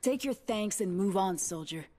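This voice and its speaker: Lady doing tough army woman from a game, dry and sarcastic, mid pitch, bit of noise behind. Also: expressive, moderate pace, mellow, lightly acted. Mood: curt, cold. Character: tough, curt woman soldier with military bearing. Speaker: video game character voice actor. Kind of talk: short in-game combat voice lines. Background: faint gameplay noise.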